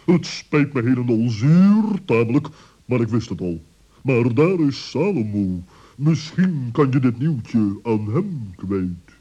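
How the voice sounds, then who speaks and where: A man's voice: mid-range voice, sing-song, takes it slowly, mellow, husky, dull audio, heavily acted. A man voicing a grumpy, pompous owl with quaint, indignant speech in a children's radio play, on an old recording.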